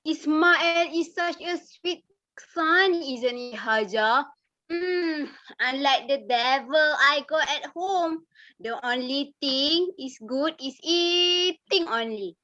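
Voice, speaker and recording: A woman playing a nosy, sharp-tongued village gossip with drawn-out, exaggerated hums in a dramatised story reading. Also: sits high, dry recording, muffled recording, full character voice. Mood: grumbling, admiring.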